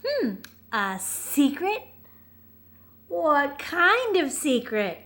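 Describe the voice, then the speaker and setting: A woman's voice: high-pitched, big pitch swings, extremely slow, a bit bright, voice pushed up, heavily acted. A woman reading a picture book aloud, voicing a young raccoon boy, tearful and reluctant, with a pleading, childlike manner. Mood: curious.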